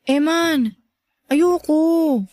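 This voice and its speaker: A woman's voice: high-pitched, extremely slow, a little mellow, no echo. A woman acting a sweet, modest, earnest young woman in a Filipino radio drama. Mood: tender.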